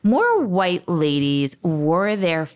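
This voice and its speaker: A woman's voice: lowish voice, very animated, very slow. A woman co-hosting a casual movie podcast, enthusiastic and emphatic. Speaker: podcast co-host.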